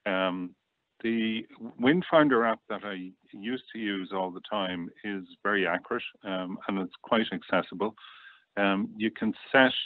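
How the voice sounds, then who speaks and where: A man as a guest reviewer, explaining casually in a plain-spoken, measured way. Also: on the low side, dry recording. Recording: tinny phone-like line, very dry.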